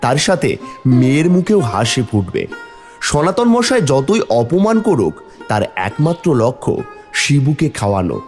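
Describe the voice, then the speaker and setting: A man's voice: medium pitch, wildly swooping pitch, normal speed, a little mellow. A man reading scripted fairy-tale narration as the storyteller of a cartoon. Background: music.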